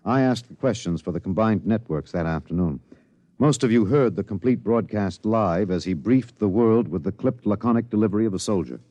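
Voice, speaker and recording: An American man playing an earnest radio newsman, reporting gravely and formally in a 1950s radio drama. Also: lowish voice, animated, brisk, dark tone, natural voice. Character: earnest network radio newsman narrating a space rescue. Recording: faintly noisy 1950s radio recording.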